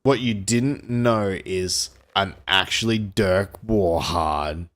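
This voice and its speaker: A man's voice: low-pitched, slow, fairly bright tone. A man voicing a cocky, self-impressed secret agent action hero with pompous, dramatic delivery. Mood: smug, dramatic.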